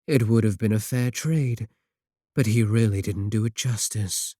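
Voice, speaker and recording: A man playing a seductive, possessive evil warlock in an intimate romantic audio roleplay, teasing and deliberate, with a husky voice. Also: fairly low pitch, mellow, no echo, studio quality. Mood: smug. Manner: slow, teasing, deliberate, with drawn-out phrasing.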